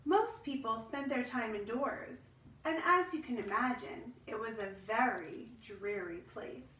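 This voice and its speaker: A woman reading a children's storybook aloud in an expressive, measured storytelling manner. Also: fairly high pitch. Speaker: storybook reader. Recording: roomy echo, distant microphone.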